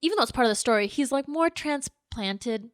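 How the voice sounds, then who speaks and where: A woman's voice: sits high, lively intonation, brisk, no room sound. Woman chatting casually, dry close sound. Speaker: podcast co-host. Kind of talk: casual chat.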